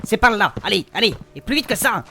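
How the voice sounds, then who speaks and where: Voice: medium pitch, very animated, fairly quick, creaky, bright, forceful tone, full character voice. A performer playing a naive, grumbling young adventurer in a fantasy comedy, speaking in an impatient, casual, slangy way.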